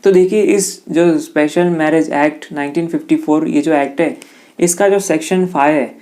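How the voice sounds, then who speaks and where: A man's voice: fairly high pitch, mellow. A man with an Indian accent giving an informal explainer lesson, speaking conversationally to listeners and sometimes reading passages aloud. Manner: conversational, addresses listeners directly, reads English passages haltingly. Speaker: exam-prep teacher explaining law.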